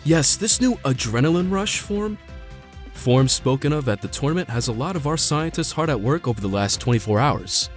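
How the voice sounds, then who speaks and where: Man acting as a lively-pitched scientist expert. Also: faint music behind, close to an everyday voice. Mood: measured, official. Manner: formal, deliberate explaining. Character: male head biologist, measured official expert. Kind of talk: scripted news interview.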